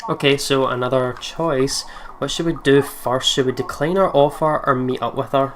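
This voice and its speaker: A woman's voice: extremely low pitch, normal speed. A woman hosting a horror game playthrough with casual, playful commentary. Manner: playful, joking. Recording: clear background noise.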